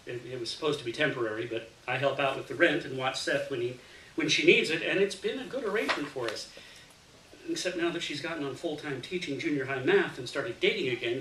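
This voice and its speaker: A man reading a first-person short story aloud in an expressive, dramatic way. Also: mid-range voice. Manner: dramatic, animated delivery with acted dialogue. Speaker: reader of a short story to an audience. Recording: roomy, distant mic, faint noise.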